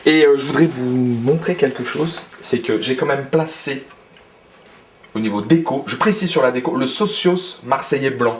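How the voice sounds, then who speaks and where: A man in his thirties playing an excitable, football-obsessed dinner host who rambles with lots of fillers. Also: medium pitch, moderate pace, close to an everyday voice. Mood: eager. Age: 30s. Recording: roomy, distant camera audio, faint noise.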